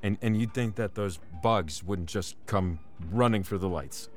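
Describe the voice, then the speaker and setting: A man's voice: lowish voice, a little slow, faint music behind, lightly acted. A man acting in an audio drama as an injured hiker, nervous, sarcastic and prone to swearing. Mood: wary. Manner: stammering, animated, sarcastic.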